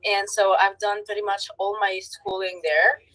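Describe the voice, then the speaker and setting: A woman's voice: mid-range voice, flat delivery, moderate pace, a bit bright, poor audio. A nineteen-year-old woman from France, speaking casually as a guest on a chat show.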